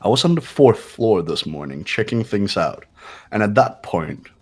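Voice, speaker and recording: A man's voice: fairly low pitch, big pitch swings, normal speed. A man playing a condescending young male genius in an amateur game dub, smug, commanding and formal in his delivery. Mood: haughty. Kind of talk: amateur voice acting in a game playthrough.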